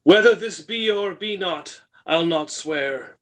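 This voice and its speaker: A man's voice: high voice, slow, a bit bright. A man reading the part of a grieving, bewildered shipwrecked king in an unrehearsed Shakespeare play, with dramatic declamation. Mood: awed, repentant.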